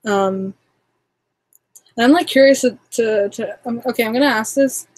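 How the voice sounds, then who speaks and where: A woman's voice: fairly high pitch, normal speed, darkish tone, no echo. A young woman from Toronto with a Canadian accent, casually chatting on a livestream, rambling with lots of fillers and restarts. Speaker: livestream host.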